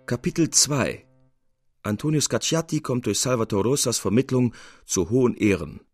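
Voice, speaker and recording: A man's voice: mid-range voice, animated, normal speed, faint music behind. A man reading a literary story aloud as a scripted audiobook narration.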